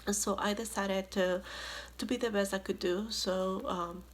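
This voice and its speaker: A woman's voice: medium pitch, fairly even intonation, unhurried, a little hiss or hum. Middle-aged woman giving an informal career talk. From Ecuador, moved to New York City as a child. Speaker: guest career speaker, finance professional and board member.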